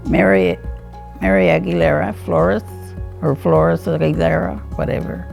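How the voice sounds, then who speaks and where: A woman in her eighties from Iowa, answering questions in a family interview, halting and searching for her words. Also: low voice, sing-song, creaky, darkish tone, soft background music. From Iowa, United States. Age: 80s. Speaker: interviewee, grandmother.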